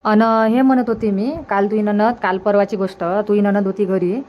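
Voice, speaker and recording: A woman's voice: mid-range voice, fairly even intonation, moderate pace, dull audio, slight background noise, natural voice. A woman voicing a practical village mother in a cartoon, gossipy and advising, repeating herself in a Varhadi Marathi accent. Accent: Varhadi Marathi from Vidarbha. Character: practical, reassuring village mother of a married daughter. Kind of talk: colloquial cartoon dialogue.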